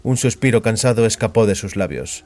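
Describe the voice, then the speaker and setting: A man narrating a dramatized story as scripted fiction, in a grave, deliberate storytelling manner. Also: lowish voice, animated, a little mellow. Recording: music behind the voice.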